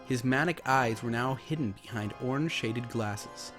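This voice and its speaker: Man, narrator, dramatic story reading, mid-pitched voice, soft music behind. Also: moderate pace. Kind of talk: dramatic reading of fan fiction.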